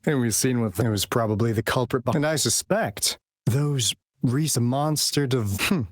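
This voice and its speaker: Low-voiced, confident young investigator. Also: female voice. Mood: reflective, relieved. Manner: brisk, analytical, informal. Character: cool, confident young woman field investigator. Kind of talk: anime game voice acting. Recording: game music behind.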